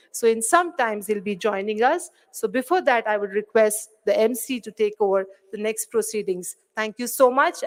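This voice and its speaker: A woman giving an upbeat formal address at an event. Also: a little high. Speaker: event speaker.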